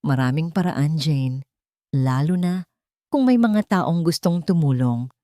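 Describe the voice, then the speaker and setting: A woman voicing a drunk, bitter estranged husband who sneers and shouts threats. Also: deep voice, expressive, a little slow, no room sound, clean studio sound.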